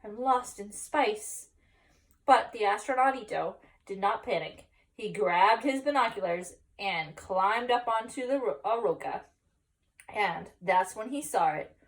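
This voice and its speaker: Female story time reader for young children, expressive range, explanatory asides, recorded a little off-mic. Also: mid-range voice, a little slow, recorded from a distance, rough recording.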